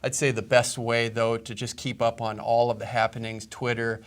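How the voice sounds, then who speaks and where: A man from Iowa, guesting on a local interview show as the head of a museum. His voice sits in a middling pitch and stays flat and even. He explains things in a steady, proud, informative way. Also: normal speed. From Iowa, USA. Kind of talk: casual interview.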